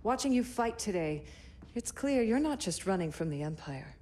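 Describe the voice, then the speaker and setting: An actress playing a poised, commanding rebel senator in a video game, measured and authoritative. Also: female voice, medium pitch, sing-song, warm tone, lightly acted. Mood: earnest. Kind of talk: cinematic video game acting.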